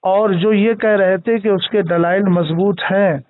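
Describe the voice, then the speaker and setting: Man, narrow phone audio, close dry sound, gravelly touch, formal, insistent, repetitive debating style. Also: sits high, steady tone, a little slow, dry recording. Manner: insistent, formal wording, repeats himself, talks over objections. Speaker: religious scholar. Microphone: phone call.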